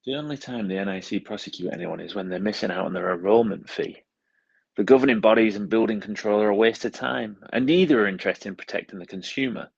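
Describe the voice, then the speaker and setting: A man voicing a disgruntled commenter, reading a bitter complaint in a husky, gravelly voice. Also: on the low side, quick pace. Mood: grumbling. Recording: dull lo-fi sound.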